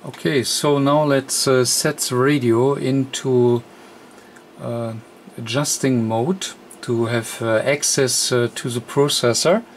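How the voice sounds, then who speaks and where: A man who speaks as a walks through a technical repair step by step. His voice sits at an average pitch and moves very slowly, with frequent hesitations and an unscripted, halting flow. A faint layer of noise sits behind him. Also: steady tone. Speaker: radio repair technician demonstrating. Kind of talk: unscripted hands-on repair tutorial.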